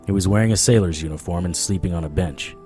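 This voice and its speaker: A man's voice: low-pitched, fast, dark tone, soft background music, lightly acted. A man playing a haunted, dogged supernatural investigator, telling his story in first person in a hard-boiled audio drama style. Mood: tense, narrating.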